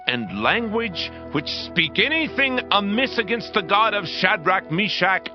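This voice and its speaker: An actor playing a proud, awestruck king of ancient Babylon, declaiming solemnly in a dramatized scripture reading. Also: high voice. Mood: fervent, decreeing. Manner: grand, declamatory, solemn proclaiming. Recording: dull band-limited audio under loud music. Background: loud dramatic music.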